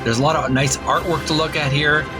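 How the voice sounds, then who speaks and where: A man hosting a retro gaming channel, talking casually and reading aloud in a halting, deliberate, stumbling way. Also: a little high, steady tone, music in the background. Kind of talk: casual read-aloud commentary.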